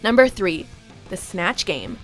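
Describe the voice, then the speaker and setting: Woman, scripted countdown voice-over, mid-pitched, punchy, faint music underneath. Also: big pitch swings, takes it slowly. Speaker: countdown video narrator.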